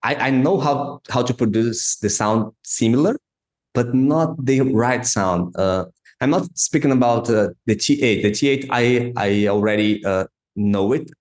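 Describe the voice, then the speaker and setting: A man's voice: mid-range voice, unhurried. Man host, echoey room. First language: Portuguese. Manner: hesitant, many fillers and restarts. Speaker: podcast host. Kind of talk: interview questions. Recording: roomy echo.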